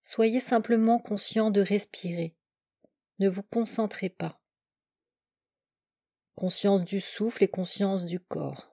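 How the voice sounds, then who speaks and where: A woman guides a relaxation meditation in a soft-edged, mellow voice. She speaks very slowly, setting out calm instructions in short, separate phrases. The sound is dry and close, with no room around it. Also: mid-range voice, creaky, husky, no echo. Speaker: yoga nidra instructor.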